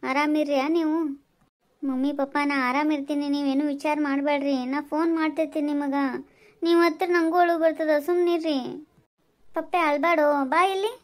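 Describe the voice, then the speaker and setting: A woman's voice: sits high, level tone, brisk, natural voice. A woman playing a tender young bride in a family skit, soothing and affectionate. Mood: tearful, soothing.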